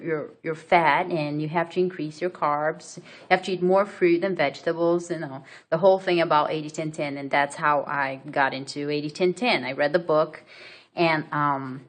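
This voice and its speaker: A woman from Brazil with a Brazilian Portuguese accent, telling her own story to camera in a hesitant way, full of fillers and restarts. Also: sits low. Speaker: vlogger. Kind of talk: casual personal story to camera.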